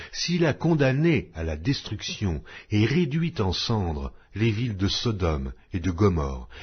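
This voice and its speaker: A deep, husky male voice reads scripture in a measured, solemn way. His pitch moves widely, lifting and dropping through each phrase. The sound is dull and lo-fi. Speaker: Bible reader.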